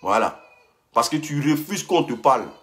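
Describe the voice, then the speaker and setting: A man's voice: medium pitch, sing-song, moderate pace, fairly bright tone. A young man, ranting heatedly on a live stream and repeating himself as he answers a rival. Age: young adult. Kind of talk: casual live-stream rant.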